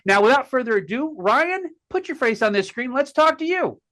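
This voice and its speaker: Male podcast host from Connecticut, raised and bright, folksy, restarts his sentences often. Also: very high voice, very animated. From Connecticut, USA. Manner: folksy, chatty, halting with repeated words. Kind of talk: casual interview talk.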